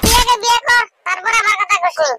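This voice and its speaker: A man voicing a young village man who teases his friend in crude, rough banter, speaking. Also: very high-pitched, quick pace, heavy background noise. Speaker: voice actor. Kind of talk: comedic cartoon dialogue. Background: loud music.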